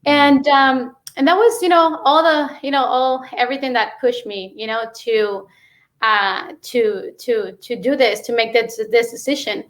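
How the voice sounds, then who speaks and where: Female interview guest, Mexican accent, native Spanish speaker, higher-pitched voice, halting and conversational personal storytelling. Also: steady tone. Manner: halting, peppered with "you know".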